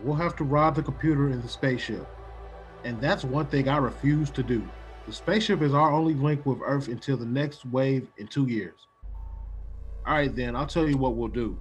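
Casting: actor as firm, no-nonsense man in charge of a space outpost, medium pitch, vintage radio play, faint music. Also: moderate pace, lightly acted. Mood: commanding, resolute. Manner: commanding, firm. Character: decisive, stern male executive of space colony. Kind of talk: old-time science-fiction radio drama acting.

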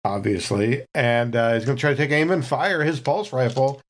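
A man playing a tabletop game, casually describing his character's actions in halting, deliberate phrases. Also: mid-range voice.

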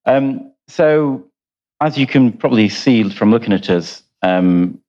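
A man with a Yorkshire accent speaking on a panel, hesitant and pausing often with fillers. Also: mid-range voice, lively intonation, a little mellow, dull audio. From Yorkshire, England. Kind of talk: panel discussion.

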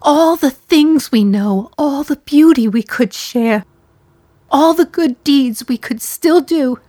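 An actress playing a condemned woman in her thirties, speaking slowly and reflectively with a wry edge. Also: sits high, warm tone, no room sound. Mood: grieving. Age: 30s. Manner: slow reflective delivery, wry humor. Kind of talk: radio play monologue.

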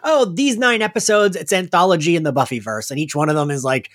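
A man's voice: on the high side, fast talker, fairly bright tone. A man co-hosting a podcast, talking casually as a fan and thinking aloud in hesitant bursts. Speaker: podcast co-host.

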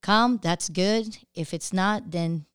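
A woman's voice: fairly low pitch, slow, clear, resonant tone, no room sound, clean studio sound. A woman with a Filipino accent hosting a casual podcast chat with her husband, talking in a chatty way with many fillers and frequent laughs. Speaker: podcast host.

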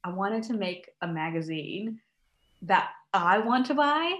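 A woman's voice: medium pitch, animated, a little slow, a bit bright, roomy sound, highs cut off. A young American woman chatting as a podcast guest, friendly and talkative, with frequent ums and little laughs. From United States. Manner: chatty, laughs often, many ums and restarts. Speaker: podcast guest, crochet designer. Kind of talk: casual interview chat.

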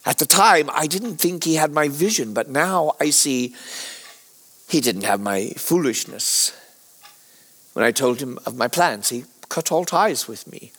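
An actor playing an anguished, guilt-ridden Victorian gentleman scientist in a radio drama, confessing haltingly and theatrically as he nears death. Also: a little high, lively intonation, breathy edge, full character voice. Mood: remorseful, confiding.

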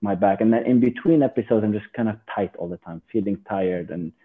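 A man from Lebanon, a physician guest in an interview, talking in a halting way with many fillers and restarts. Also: on the low side. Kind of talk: casual interview. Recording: tinny phone line.

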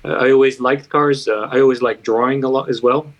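A man's voice: medium pitch, steady tone, a little fast. A man from Bulgaria who later lived in the United States, talking informally and reflectively as an interview guest. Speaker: car designer, interview guest. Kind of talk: casual interview storytelling.

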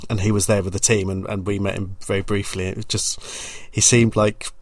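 A man in his forties hosting a podcast interview, with a slightly low voice. He asks questions in a chatty, rambling way. The recording is close and dry. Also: flat delivery, brisk, dry recording. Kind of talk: casual interview.

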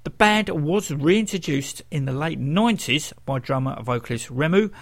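A man's voice: on the high side, expressive, moderate pace. A man hosting a radio music show in a casual, informal way, with self-correcting asides.

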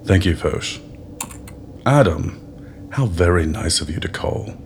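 An actor playing an older, calm, scheming doctor in a radio play, measured, unhurried and politely formal. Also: male voice, deep voice, a little hiss or hum. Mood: smooth courtesy. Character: older, calm, scheming male biology doctor, patient villain.

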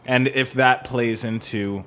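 A man co-hosting a podcast, talking casually about music in a halting way as he searches for words. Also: medium pitch, fairly even intonation, fairly bright tone. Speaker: podcast co-host. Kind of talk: casual music discussion with some theory.

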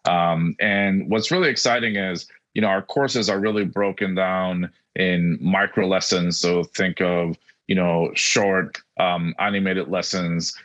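A middle-aged man from Argentina, a native Spanish speaker, giving earnest, halting interview answers over dull, lo-fi audio. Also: low-pitched, steady tone, fairly bright tone. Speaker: podcast guest, education technology executive.

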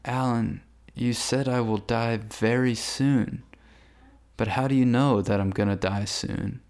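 Man acting as a slow, mellow, meek musician. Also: mid-range voice, fairly even intonation, clear, resonant tone, close to an everyday voice. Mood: anxious, questioning. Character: meek, bewildered human musician facing death. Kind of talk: scripted radio drama dialogue. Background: faint music.

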